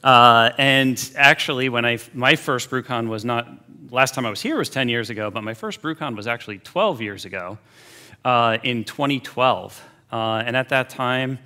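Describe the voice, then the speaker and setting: Man, forties, bright clear voice, relaxed chatty keynote on a big stage. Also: medium pitch. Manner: casual, chatty storytelling with many fillers. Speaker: keynote speaker. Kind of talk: conference keynote talk. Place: large conference hall stage.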